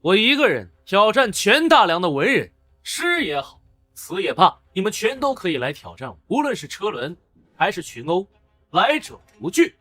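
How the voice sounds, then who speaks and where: An actor playing a brash, defiant young official in a Chinese historical comic drama, with a taunting, declamatory manner. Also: male voice, high-pitched, big pitch swings, normal speed, bright, forceful tone, faint music behind, exaggerated voice. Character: brash young male official of beggar origin, defiant and commanding.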